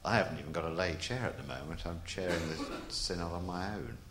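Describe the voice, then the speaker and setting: A man's voice: sits low, quick pace, reverberant, noisy background. A man at a church conference raising a frank, wry question from the floor. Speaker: conference delegate.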